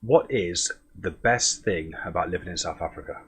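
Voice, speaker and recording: A man with a husky voice, chatting casually and self-deprecatingly as he hosts a podcast interview. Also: sits low, normal speed. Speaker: podcast host.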